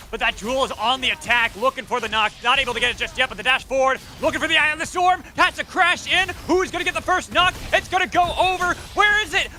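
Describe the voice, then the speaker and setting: A man giving live esports commentary as an excitable play-by-play caster. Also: very high voice. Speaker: esports shoutcaster. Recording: steady clear background game noise. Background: video game sounds.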